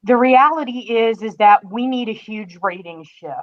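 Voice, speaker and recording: A woman's voice: on the high side, normal speed. A woman in a casual podcast discussion, explaining things emphatically as a guest critic and stretching out stressed phrases. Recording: dull, lo-fi call audio.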